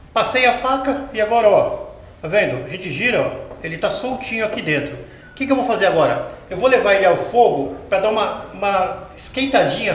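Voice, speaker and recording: A middle-aged man demonstrating a recipe step by step in his home kitchen, explaining patiently and checking in with the viewer as he works. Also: high voice. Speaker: home cooking show host. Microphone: camera mounted overhead. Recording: echoey kitchen with light background noise.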